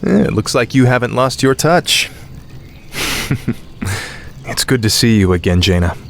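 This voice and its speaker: An actor playing a young warrior prince in a video game, speaking in an earnest, noble and confident manner. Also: male voice, mid-range voice, sing-song, a little slow, gravelly, slight background noise. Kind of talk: video game cutscene acting.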